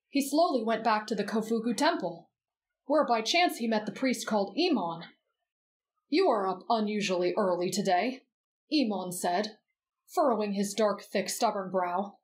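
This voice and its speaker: A woman voicing a gentle, earnest, patient teenage boy in an anime bedtime-story roleplay. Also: mid-range voice, lightly acted.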